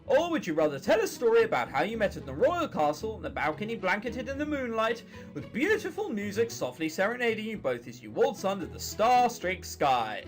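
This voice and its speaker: A teasing, romantic troll sister from a visual novel, read by a man. The voice is high and animated, coaxing and persuasive, with faint music underneath. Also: fairly quick. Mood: teasing, dreamy.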